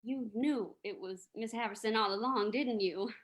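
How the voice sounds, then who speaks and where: A detective's flirtatious sidekick played by a woman in a vintage radio mystery. Her voice is fairly high, and she is coy, playful and inquisitive. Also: normal speed, close to an everyday voice. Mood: curious. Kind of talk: old-time radio drama acting.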